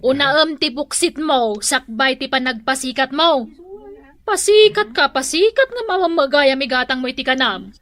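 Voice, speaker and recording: A woman's voice: sits high, animated, normal speed, fairly bright tone, dry recording. A woman with an Ilocano accent playing a firm, curt young co-worker in a comic radio drama, reproving in manner.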